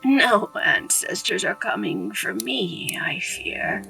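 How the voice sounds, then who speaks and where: A woman's voice: medium pitch, big pitch swings, takes it slowly, creaky, distant mic, music in the background, full character voice, poor audio. A woman playing a weary, dying old woman with solemn, archaic diction in a ghost story audio drama.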